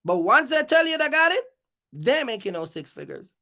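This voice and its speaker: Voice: high voice, big pitch swings, normal speed, bright, forceful tone. A livestream host on an off-the-cuff rant, speaking emphatically and confrontationally and repeating phrases to drive points home. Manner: confrontational, challenging listeners. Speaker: live stream host.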